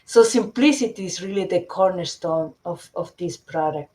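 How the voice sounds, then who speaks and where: Woman, middle-aged, from Chile, lowish voice, hesitant, fillers and restarts, webinar presenter. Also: normal speed, mellow. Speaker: company founder and guest.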